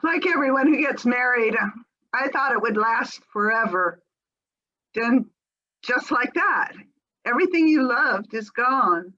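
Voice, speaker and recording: A woman's voice: on the high side, lively intonation, unhurried, bright, forceful tone. An actress playing a wistful divorced woman in a reflective, confiding readers theater monologue. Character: wistful divorced woman recalling lost love. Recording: slightly dull video-call audio.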